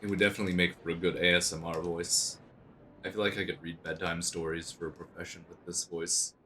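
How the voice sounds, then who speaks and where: A young male streamer chats casually over his game, his voice very deep and raspy from illness. He jokes crudely, with a forceful, bright edge cutting through the hoarseness. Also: level tone, brisk, a little hiss or hum. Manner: crude, joking. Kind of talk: casual livestream commentary.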